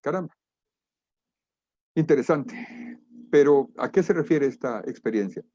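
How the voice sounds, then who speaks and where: A middle-aged man with a Guatemalan accent giving an explanatory webinar lecture in a deliberate, emphatic manner. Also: mid-range voice, animated, warm tone, dry recording, dull audio. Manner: deliberate, emphatic, rhetorical questions. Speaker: international trade lecturer and expert.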